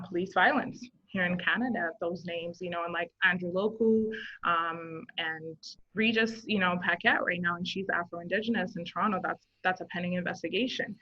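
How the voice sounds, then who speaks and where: A woman in her thirties from Zimbabwe, a social worker guest, talking earnestly in a casual interview and thinking aloud with many fillers. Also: on the low side. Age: 30s. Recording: dull lo-fi sound.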